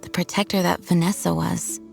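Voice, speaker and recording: A woman playing a noble, dutiful young knight commander in a video game, speaking formally with gentle composure. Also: fairly low pitch, flat delivery, normal speed, gravelly, soft background music. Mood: gentle, resolute.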